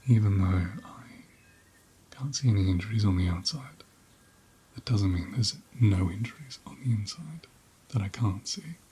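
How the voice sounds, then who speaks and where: A man playing a calm, caring ram who looks after his herd, speaking in a gentle, breathy, often whispered ASMR style close to the microphone. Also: lowish voice, slight background noise. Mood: caring, firm. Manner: gentle, soothing, soft-spoken, unhurried advice-giving.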